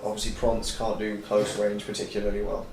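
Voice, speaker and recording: A man's voice: lowish voice, completely flat delivery, a little fast, a little mellow, a little hiss or hum. A man playing a tabletop game, chatting casually about tactics with other players. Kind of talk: casual group chat. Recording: echoey, distant mic, group chatter behind.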